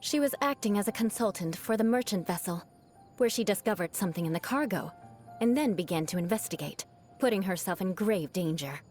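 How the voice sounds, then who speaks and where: An actress voicing a proud young noblewoman knight in a video game, with a haughty, formal, theatrical manner and a vengeful streak over a soft heart. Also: mid-range voice, animated, fairly quick, natural voice. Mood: fond, measured. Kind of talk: video game character voice lines. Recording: music behind the voice. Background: background music.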